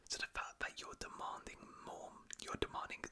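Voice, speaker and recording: A man whispering casual, rambling talk as a football fan, with frequent restarts. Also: moderate pace. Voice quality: soft whisper throughout. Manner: rambling, conversational, restarts often.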